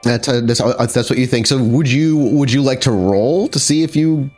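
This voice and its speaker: A man narrating a tabletop horror game as game master, descriptive and halting as he searches for words. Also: medium pitch, darkish tone. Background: background music.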